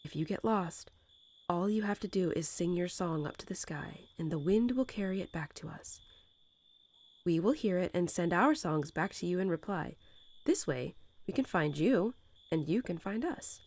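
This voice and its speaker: Nurturing mother wolf, played by a woman, slightly low and soft, sometimes breathy, lulling tiny pups in a bedtime roleplay audio. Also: lively intonation. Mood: tender, encouraging. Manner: tender, unhurried, lulling storytelling. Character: gentle nurturing mother wolf talking to her newborn pups.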